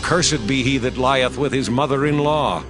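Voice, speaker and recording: A man's voice: medium pitch, moderate pace, over loud music, close to an everyday voice. An actor playing a stern Levite priest pronouncing curses in a declamatory, dramatized King James Bible reading. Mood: stern condemnation.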